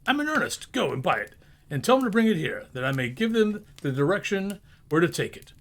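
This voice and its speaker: A man voicing an overjoyed old miser in a storybook reading, excitable and childlike, gushing in exclamations. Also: high-pitched, brisk, pitched above the performer's own voice, heavily acted. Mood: excited, eager. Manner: exclamatory, breathless gushing, whooping.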